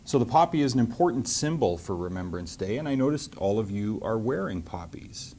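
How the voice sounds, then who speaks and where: A man with a Canadian accent speaking as a mayor to schoolchildren, in a measured, simply worded way. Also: on the low side, animated, a little mellow, a little hiss or hum. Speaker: mayor hosting and reading to children. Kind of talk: public talk and storybook reading to schoolchildren.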